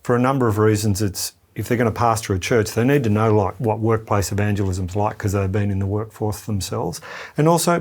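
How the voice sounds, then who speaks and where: Lower-pitched Australian man, colloquial and frank. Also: a little mellow. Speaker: interview guest, ministry apprenticeship leader. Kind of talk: casual conversation.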